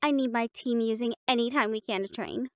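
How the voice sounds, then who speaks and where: Girl voice actor doing a high voiced sassy catgirl cheer captain, bossy mean girl type, super dry close sound, audio rp. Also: fairly quick, no room sound, lightly acted. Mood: sassy, proud. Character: bossy, sharp-tongued young catgirl cheerleader captain, secretly fond. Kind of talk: scripted audio roleplay acting.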